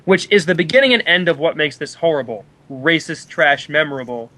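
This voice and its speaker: A man's voice: a little high, animated, a little fast, sharp tone, no echo, poor audio. A man voicing an opinionated, squeamish male film critic in a declarative dramatic reading of a review. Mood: prim disapproval.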